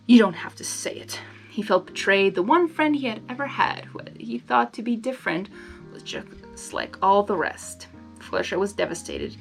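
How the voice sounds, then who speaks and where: A woman reading a story aloud in an expressive manner, breaking into casual, exasperated reactions to the characters. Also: medium pitch, moderate pace, vocal fry, faint music behind. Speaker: fan fiction reader.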